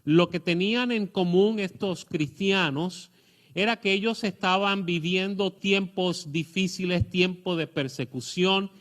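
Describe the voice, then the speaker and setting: A middle-aged man with a Puerto Rican accent preaching a sermon, pausing often with fillers and restarts. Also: sits high, a bit bright. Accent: Puerto Rican Spanish. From Puerto Rico. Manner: hesitant, many fillers and restarts. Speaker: pastor.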